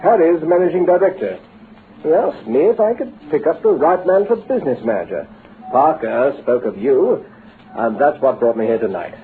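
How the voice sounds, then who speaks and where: Actor as tinny old-radio British businessman, lively and persuasive. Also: fairly high pitch, natural voice. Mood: flattering, confiding. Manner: brisk, persuasive, avuncular. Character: brisk, flattering, smooth-talking businessman recruiting a clerk. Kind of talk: old-time radio drama acting. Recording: tinny band-limited old radio recording, hissy.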